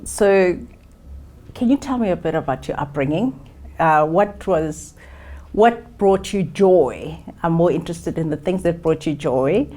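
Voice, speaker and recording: A woman hosting a conversation podcast, introducing her guest and asking measured questions with soft affirmations. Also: fairly low pitch, lively intonation. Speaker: podcast host. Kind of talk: interview conversation.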